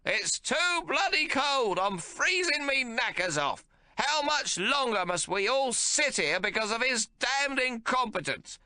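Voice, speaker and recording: Very old man, irascible, shouting, high cracked voice, slow. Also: big pitch swings, dry recording, heavily acted. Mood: grumbling. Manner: shouting, cantankerous, blunt.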